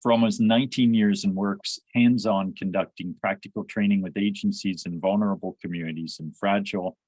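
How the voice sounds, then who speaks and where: A man hosts a conversation in a lowish voice with a close, dry sound. He is halting and often starts his phrases over. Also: fairly even intonation, a little mellow, no echo, low-quality recording. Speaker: podcast host. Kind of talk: conversational interview.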